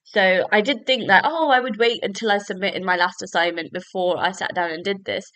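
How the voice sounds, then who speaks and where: A woman's voice: mid-range voice, fairly bright tone, clean tone, dry recording, poor audio. Sniffly young woman rambling casually. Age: young adult. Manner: rambling, chatty, many "like" fillers. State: bunged up, sniffly from a cold. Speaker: podcast host. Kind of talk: casual solo book-review podcast.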